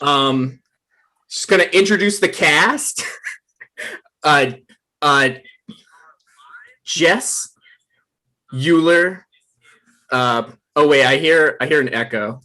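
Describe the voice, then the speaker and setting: A man's voice: fairly high pitch, slow, pauses often, pushed and bright, low-quality recording. A man hosting a table read, informally introducing the cast.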